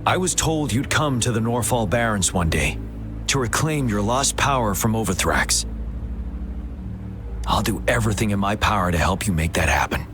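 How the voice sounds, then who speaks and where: A stern young general, voiced by a man, briefing his allies in a low, measured tone. He speaks formally and earnestly. Cinematic game music plays underneath. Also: expressive, lightly acted. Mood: earnest, grave. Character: stern, dutiful male military general. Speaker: general leading the defence. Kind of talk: voiced video game cutscene.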